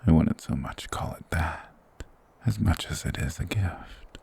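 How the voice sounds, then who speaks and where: A man as a very deep, gravelly forest monster. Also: full character voice. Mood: softly probing. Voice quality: breathy, gravelly whisper. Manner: formal, slow, measured, softly persuasive. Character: mysterious soft-spoken male monster hermit of the woods, courteous, eerie. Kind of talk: ASMR fantasy roleplay.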